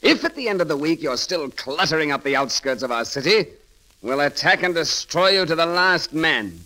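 An actor playing a witty, bold and romantic grand constable in an eloquent, theatrical 1930s radio style. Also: male voice, a little high, expressive, moderate pace, sharp tone, low-quality recording. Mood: defiant, commanding.

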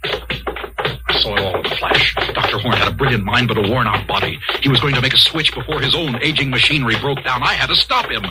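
An actor playing an ambitious, excitable army colonel telling his tale in a 1950s radio drama. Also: medium pitch, a little fast, close to an everyday voice, rough recording. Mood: dramatic narrating. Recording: old 1950s radio recording, music behind.

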